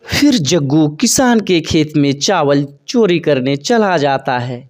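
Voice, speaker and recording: A woman reading scripted narration for a children's animated bird story. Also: deep voice, takes it slowly.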